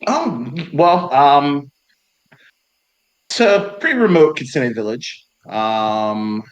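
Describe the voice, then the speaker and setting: A man's voice: medium pitch, animated, slow pace, rough recording. A man playing a tabletop role-playing game, speaking in and out of character in an easygoing, rambling way. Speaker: player.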